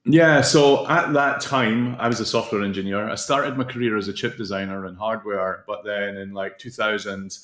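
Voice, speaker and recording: A middle-aged man talks at length in a relaxed interview, his voice sitting on the low side. His speech rings with a noticeable room echo, as if recorded in an open space. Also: normal speed, hardly any pauses. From Scotland. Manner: talkative, explains in long flowing runs. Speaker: guest, company founder. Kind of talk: casual interview storytelling. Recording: roomy echo.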